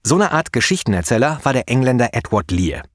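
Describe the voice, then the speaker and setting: A man hosting his own podcast, explaining in a chatty, relaxed way with frequent fillers. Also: mid-range voice, quick pace, dry recording, studio quality. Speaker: podcast host and poet.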